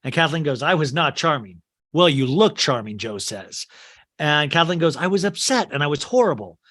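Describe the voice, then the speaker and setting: A man with a bright, slightly high voice recaps a film on his podcast, acting out its dialogue in put-on voices. His voice sounds worn and strained. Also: very animated, normal speed. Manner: acts out quotes in shifting put-on voices. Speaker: podcast host.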